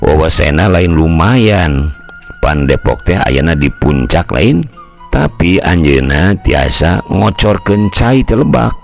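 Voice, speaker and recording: A man's voice: sits low, big pitch swings, slow, creaky, soft background music. An older man with a West Javan Sundanese accent, telling a folk tale in a colloquial, conversational way. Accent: Sundanese-speaking West Java. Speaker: storyteller. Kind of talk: traditional folk tale narration with acted dialogue.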